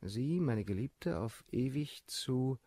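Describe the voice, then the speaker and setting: Casting for radio play: a flippant aristocratic seducer, slightly rough-voiced, recorded dry. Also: male voice, mid-range voice, lively intonation, slow, dark tone, no room sound. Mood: wooing, dictating. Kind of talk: German radio drama acting.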